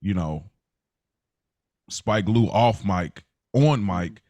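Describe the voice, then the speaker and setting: American man, podcast host. Lowish register, dry sound, sincere casual delivery that repeats and rephrases as it goes. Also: lively intonation, drawn-out words, darkish tone, no room sound. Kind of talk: casual chat.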